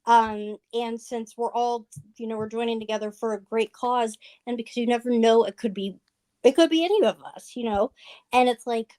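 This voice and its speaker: A woman's voice: a little high, normal speed, darkish tone. A woman guest in a casual livestream chat, talking in an earnest, rambling way full of fillers.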